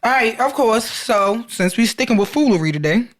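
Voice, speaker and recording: A woman's voice: on the high side, animated, normal speed. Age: adult. Sex: woman. Role: podcast co-host. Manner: sassy, profane asides. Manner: sassy, profane asides while reading announcements. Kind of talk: casual chat, reading show contact details.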